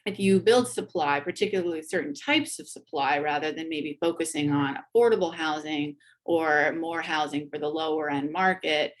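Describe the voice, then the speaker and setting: A woman giving measured, analytical commentary as an academic guest on a panel. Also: deep voice.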